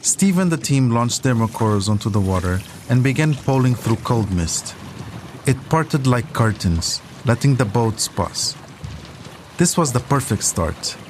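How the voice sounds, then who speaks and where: A man with a mid-range voice narrates a documentary story from a script. Clear ambient noise runs behind his words throughout. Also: mid-range voice. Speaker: podcast narrator.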